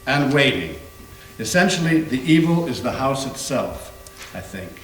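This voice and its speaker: A man playing an erudite investigator of haunted houses in a staged reading, telling his ghost story gravely with deliberate pauses. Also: medium pitch, sing-song. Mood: ominous storytelling. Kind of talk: staged readers theater performance. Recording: echoey room with faint hum.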